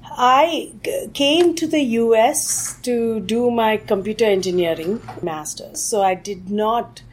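A woman from India, a technology executive answering interview questions thoughtfully and often pausing on "uh". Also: a little high, slight background noise, low-quality recording. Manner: thoughtful, with frequent "uh" fillers.

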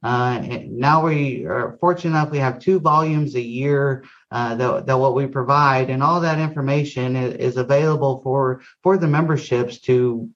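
A man's voice: medium pitch, normal speed, hardly any pauses, a bit bright. A man speaks as a guest on a podcast, in a medium voice that comes through dull and lo-fi. He keeps restarting his words and leaning on "uh". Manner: stammering restarts, many fillers. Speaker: guest, research director. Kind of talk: interview answers. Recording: dull lo-fi sound.

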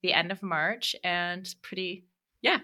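A woman in her thirties, a podcast guest talking about her business, chatty and quick to laugh. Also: on the low side, bright, forceful tone. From Canada and the Middle East. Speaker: guest, media business owner. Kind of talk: casual interview chat.

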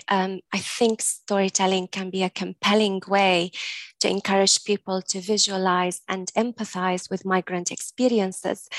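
A woman in her forties from Syria, an academic guest in a podcast interview, speaking in a thoughtful, measured way with frequent ums. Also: mid-range voice, dry recording. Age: 40s.

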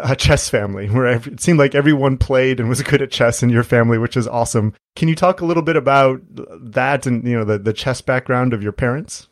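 A man hosting an interview podcast, introducing his guest and chatting in a relaxed, friendly way with plenty of fillers. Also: medium pitch. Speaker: podcast host.

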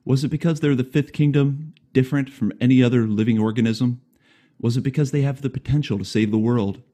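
A man's voice: mid-range voice, brisk, warm tone. A man hosting a podcast, reading a prepared intro and then asking rambling, friendly questions with light jokes. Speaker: podcast host. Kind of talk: scripted intro, then casual interview.

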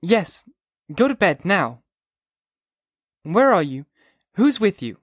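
A man reading aloud, voicing a sharp young woman who curtly scolds a drunk caller. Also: sits high, big pitch swings, slow pace, no echo, clean studio sound, pitched above the performer's own voice, heavily acted. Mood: impatient. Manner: curt, impatient.

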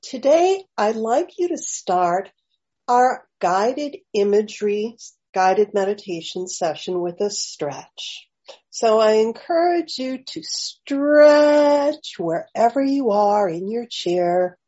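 Slow, husky, mellow woman guiding meditation. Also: fairly high pitch, sing-song. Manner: gentle, unhurried invitations with long pauses. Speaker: guided meditation leader. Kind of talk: slow guided meditation narration. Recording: slightly dull, lo-fi audio.

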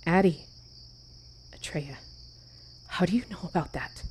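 A woman's voice: on the low side, expressive, unhurried, a little mellow, a little hiss or hum. A woman playing an anxious, guarded wife with secrets in an audio drama, speaking in hesitant phrases.

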